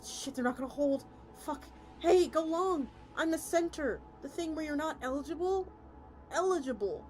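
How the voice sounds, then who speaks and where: Frantic, sweary football player for a dramatic story read by a woman, high and exclamatory, music behind. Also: expressive, normal speed, darkish tone. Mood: panicked. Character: excitable, foul-mouthed football player and play commentator.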